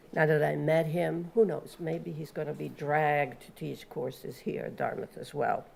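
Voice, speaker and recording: A woman with an Italian accent, a professor moderating a panel and introducing speakers in an earnest, measured way. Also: fairly low pitch, vocal fry, a little mellow.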